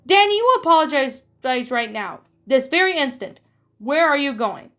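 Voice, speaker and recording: A woman's voice: high-pitched, very animated, moderate pace, fairly bright tone, voice pushed up. A woman voicing a stern, weary mother who scolds sharply. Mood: scolding.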